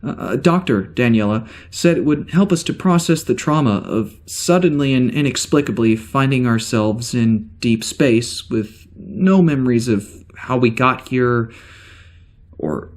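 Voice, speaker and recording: A man's voice: medium pitch, darkish tone, natural voice. A man playing an uneasy amnesiac spaceship security officer, rambling hesitantly through a spoken log in a science fiction audio drama. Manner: halting, hesitant, rambling, wry.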